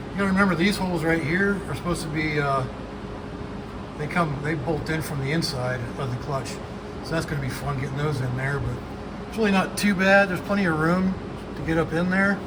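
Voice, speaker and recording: Guy wrenching on an old truck in his metal shop, talks you through it with lots of uh's, kinda high voice, rain hammering the roof. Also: a little fast, noisy background. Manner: explains each repair step as he works, with many fillers; speaks up loudly over the rain. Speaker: mechanic and channel host. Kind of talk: casual garage how-to narration.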